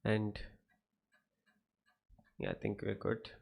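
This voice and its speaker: A man's voice: lowish voice, completely flat delivery, slow, creaky, a little mellow, husky. A young man with an Indian English accent teaching chess on a livestream, explaining positions, asking viewers questions and greeting the chat by name. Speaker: chess coach. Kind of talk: live-streamed chess lesson.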